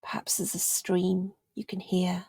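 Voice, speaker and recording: Hushed, creaky-voiced woman guiding meditation. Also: fairly low pitch, completely flat delivery, dry recording. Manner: gentle, soothing, unhurried with long pauses, trailing into near-whisper. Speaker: meditation guide, yoga teacher. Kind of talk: guided meditation narration.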